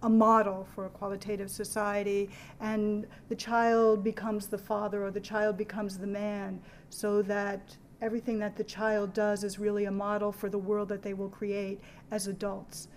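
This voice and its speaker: A woman leading a group discussion as a museum educator, explaining an artwork in a clear, paced way. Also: mid-range voice, little pitch movement, moderate pace, slight background noise. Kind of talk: gallery talk to a group.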